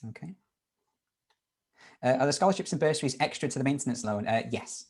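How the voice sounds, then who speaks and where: A man's voice: medium pitch, animated, fairly quick, darkish tone, slightly rough. A man hosting a university webinar Q&A on a video call, upbeat and informal with lots of fillers and restarts. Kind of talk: live webinar Q&A.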